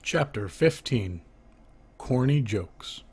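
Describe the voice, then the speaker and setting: A man's voice: mid-range voice, expressive, slow, slightly rough. A man reading a children's joke book aloud, announcing who speaks before each line and lifting his voice for the questions. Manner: lifts his voice for the asking half of knock-knock jokes.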